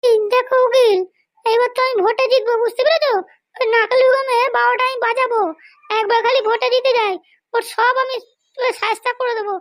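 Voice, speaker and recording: A man's voice: very high-pitched, level tone, brisk, a bit bright, no room sound, voice pushed up. A man voicing a bossy, scheming woman politician in an amateur comedy cartoon. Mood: boastful, vengeful. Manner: boastful, commanding.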